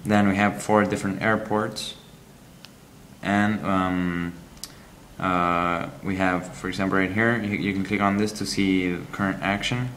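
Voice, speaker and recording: A man reviewing a game app, walking through its screens in a halting, explanatory way with frequent ums. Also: sits low, fairly even intonation, a bit bright, a little hiss or hum.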